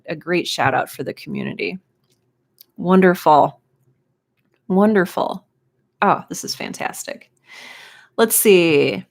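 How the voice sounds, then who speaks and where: A woman hosting a live stream, chatting casually and encouragingly as she greets viewers and reads out comments. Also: fairly low pitch. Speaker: live stream host and writing teacher.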